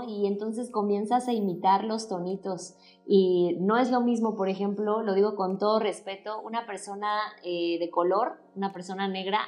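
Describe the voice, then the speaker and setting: A playful, expressive woman with a Mexican Spanish accent hosts a relaxed chat, her pitch sitting in an ordinary middle range. Faint music plays softly behind her. She explains things warmly and with a light touch. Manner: playful, expressive, calls people "corazón". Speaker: host. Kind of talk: casual talk-show chat.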